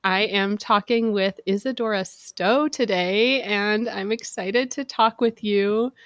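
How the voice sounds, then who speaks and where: A woman's voice: mid-range voice, takes it slowly, a bit bright, dry recording. A woman hosts an interview podcast, recorded very dry and close with no room sound. She asks her questions in a friendly, plain way. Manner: friendly, brief backchannels. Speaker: podcast host. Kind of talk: interview host.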